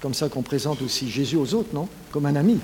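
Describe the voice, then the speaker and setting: A man introducing a guest speaker to a congregation in a warm, joking way. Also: fairly high pitch, animated, fairly quick, a little hiss or hum. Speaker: church leader introducing a speaker. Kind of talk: friendly introduction.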